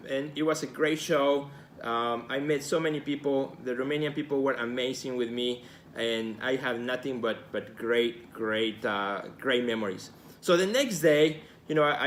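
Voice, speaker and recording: A man's voice: medium pitch, a bit bright, a little hiss or hum. Earnest Mexican-accented man coaching viewers. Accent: Mexican Spanish. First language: Spanish. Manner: earnest, encouraging, repeats key phrases. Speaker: host and art career coach. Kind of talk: casual live video talk to viewers.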